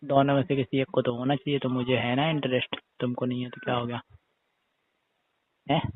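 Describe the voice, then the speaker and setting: A man's voice: mid-range voice, little pitch movement, fairly quick. A man chatting casually on a private phone call in a teasing, persistent, questioning manner. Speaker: caller, brother-in-law. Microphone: phone call recording. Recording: tinny, muffled phone line with echo.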